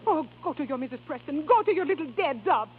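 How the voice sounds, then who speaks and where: An actress playing a grand, spoiled European opera diva with imperious, theatrical phrasing in a 1930s radio drama. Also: female voice, sits high, lively intonation, fast talker. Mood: wounded, furious. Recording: scratchy, narrow 1930s radio recording with hum.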